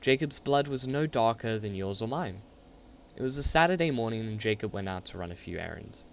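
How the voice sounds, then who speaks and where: A young man with a fairly low voice narrates an amateur radio play. He reads from a script in a dramatic storytelling style. There is slight noise behind him. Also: animated, a little fast, creaky. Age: young adult.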